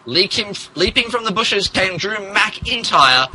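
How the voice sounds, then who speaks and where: A man's voice: sits high, lively intonation, measured pace, a crowd in the background, low-quality recording. A man narrating a comic dramatic reading of fan fiction in a theatrical, emphatic manner. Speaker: podcast host reading as narrator.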